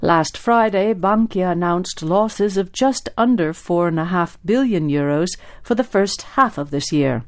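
A woman's voice: lowish voice, unhurried, few pauses. A woman reading scripted news reports as a radio newsreader.

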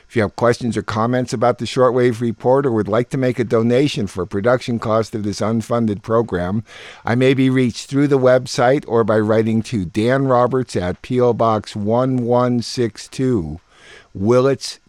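An American man presents a radio programme from a script. His pitch is average for a man, and the sound is close and dry with no room around it. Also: darkish tone, no room sound. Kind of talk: scripted announcing.

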